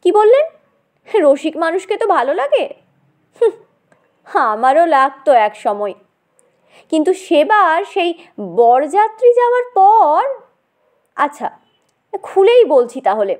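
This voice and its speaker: Female comic audiobook narrator: very lively intonation, unhurried delivery, theatrical and chatty. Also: very high-pitched. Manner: dramatic, conversational, addresses listener directly. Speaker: storyteller.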